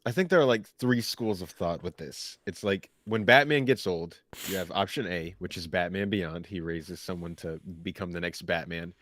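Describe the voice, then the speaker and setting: A man co-hosting a casual chat, laying out his opinions in a halting, think-aloud way. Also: sits low, a bit bright, no room sound. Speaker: co-host of a pop culture discussion show.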